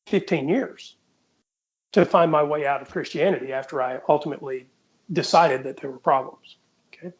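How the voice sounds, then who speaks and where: Measured man debating point by point. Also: a little high, rough recording. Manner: measured, deliberate, conciliatory, orders points one by one. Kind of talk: casual conversational debate.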